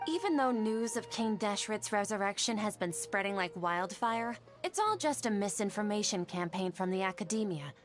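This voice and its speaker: A woman's voice: medium pitch, lively intonation, moderate pace, few pauses, faint music behind, natural voice. A woman playing an earnest, polite young woman in a video game, sincere and a little hesitant. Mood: doubtful, deferential. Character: earnest, weary young woman from the desert, deferential.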